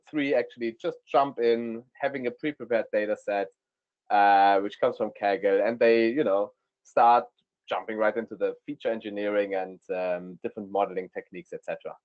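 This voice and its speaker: A man with a German accent, speaking as a guest data scientist in a webinar, often pausing with ums. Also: mid-range voice, steady tone, clean tone, lo-fi sound. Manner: hesitant, many ums. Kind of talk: webinar presentation.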